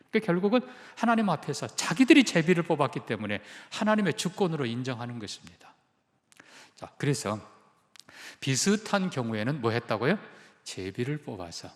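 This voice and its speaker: A man's voice: on the high side, long reverb tail. A male pastor preaching a sermon, emphatic and putting questions to the congregation. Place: church sanctuary.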